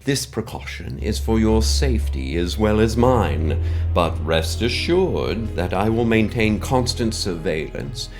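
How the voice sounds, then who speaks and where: A man playing a mysterious wealthy benefactor in an audio drama, with a pompous, florid, formal manner and theatrical pauses. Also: lowish voice, lively intonation, darkish tone, soft background music. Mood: grandly assured. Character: mysterious wealthy male benefactor, pompous, grandiose, slyly menacing. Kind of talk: scripted audio drama monologue.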